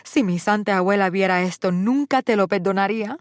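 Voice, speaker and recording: A woman's voice: medium pitch, quick pace, no room sound, voice pushed up, close to an everyday voice. A woman voicing a dramatic, scolding middle-aged mother who speaks with a thick Spanish accent, in a dry close recording. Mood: outraged. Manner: loud, scolding, theatrical. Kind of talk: audiobook character voice.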